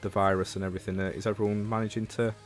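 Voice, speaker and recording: Male livestreamer, low voice, Northern English accent, informal game chat over faint background music. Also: little pitch movement, very fast. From Leeds, England. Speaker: livestreamer and show host. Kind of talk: casual livestream chat about games, replying to viewers.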